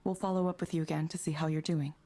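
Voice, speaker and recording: An actress playing a composed, neutral woman counsellor in a visual novel, with measured, professional delivery. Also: sits low, steady tone, warm tone. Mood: calm, procedural.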